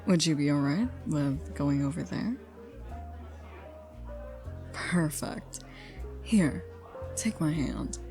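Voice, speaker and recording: A woman's voice: low-pitched, animated, over music, exaggerated voice. A woman acting a flirty, teasing stranger in an intimate ASMR roleplay, coaxing playfully. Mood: flirty, inviting. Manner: playful, coaxing, drawn-out teasing with breathy asides. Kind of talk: ASMR roleplay, intimate one-sided dialogue.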